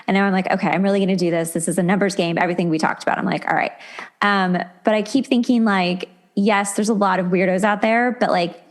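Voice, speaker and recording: A woman's voice: medium pitch, fairly even intonation, quick pace. Chatty woman hosting a casual podcast. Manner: chatty, informal, frequently quotes own thoughts. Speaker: podcast host. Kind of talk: casual interview chat.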